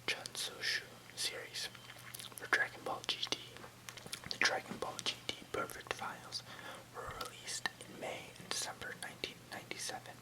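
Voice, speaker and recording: This is an ASMR host who never rises above a breathy whisper. The delivery is that of someone reading aloud informally, with casual phrasing and small stumbles over words. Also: rough recording.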